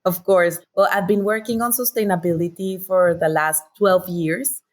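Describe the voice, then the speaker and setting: A Colombian woman with a slightly low voice, speaking as an interview guest. Her English carries the accent of a native Spanish speaker. She talks in an articulate, enthusiastic, conversational storytelling way. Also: fairly even intonation, moderate pace. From Bogota, Colombia. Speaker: interview guest, sustainability director.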